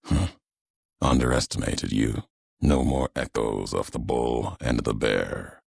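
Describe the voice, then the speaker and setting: Rock-bottom deep, gravelly, brooding wasteland antagonist. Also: male voice, dry recording, clean studio sound, full character voice. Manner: slow, solemn, terse, cryptic pronouncements. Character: grim, vengeful male wasteland courier, brooding philosophical antagonist. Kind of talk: video game character dialogue.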